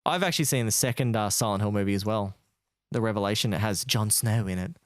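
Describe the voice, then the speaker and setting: A man's voice: fairly low pitch, fast talker, dry recording, clean studio sound. A man co-hosting a podcast, chatting casually with slangy, informal phrasing. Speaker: podcast co-host.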